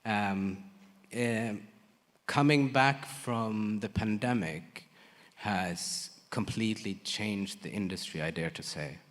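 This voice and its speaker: A man who works as a lighting designer, answering audience questions in a halting way with many fillers and restarts. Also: fairly low pitch. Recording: long hall reverb.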